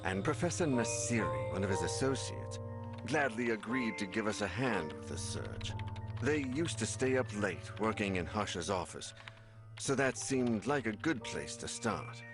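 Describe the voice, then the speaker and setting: An actor playing a weary, troubled man investigating a horror mystery in a video game, speaking gravely. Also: fairly low pitch, sing-song, moderate pace. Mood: grave, determined. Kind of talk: dramatic video game narration and dialogue. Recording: loud music behind the voice.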